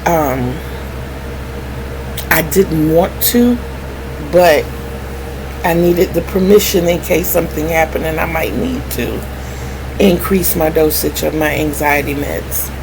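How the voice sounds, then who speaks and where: Slow-talking woman, low voice, noisy recording. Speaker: vlogger. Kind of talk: candid personal storytelling to viewers. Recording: clearly noisy background hum.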